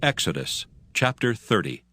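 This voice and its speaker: A man's voice: lowish voice, big pitch swings, normal speed. A man narrating a dramatized scripture reading in a scripted style.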